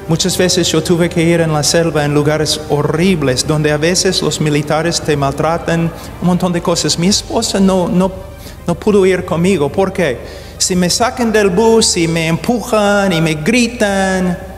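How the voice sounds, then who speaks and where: Slow, halting man preaching in a hall. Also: a little high. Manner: deliberate, halting, searches for words; grows urgent and emphatic. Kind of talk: sermon. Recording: long hall reverb, faint music.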